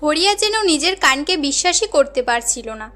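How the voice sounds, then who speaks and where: A woman's voice: high-pitched, big pitch swings, moderate pace, pushed and bright, faint music behind. A woman giving scripted narration for a cartoon story as its narrator.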